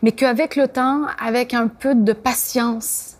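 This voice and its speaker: A woman guest on an interview, speaking in a slightly high voice. She tells stories thoughtfully, pausing and searching for words as she reflects. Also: fairly even intonation.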